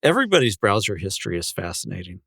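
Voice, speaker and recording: A man's voice: fairly low pitch, very animated, quick pace, vocal fry, fairly bright tone, dry recording, studio quality. A man co-hosting a podcast, talking in a casual, conversational style with his partner. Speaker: podcast co-host.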